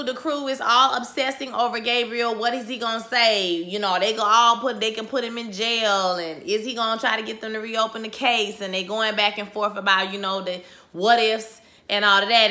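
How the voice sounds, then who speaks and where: A woman talks through a TV episode in a loose, slangy recap. She is losing her voice and sounds hoarse. Her tone is bright and forward. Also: mid-range voice. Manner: chatty, slangy, animated retelling. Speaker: TV recap vlogger.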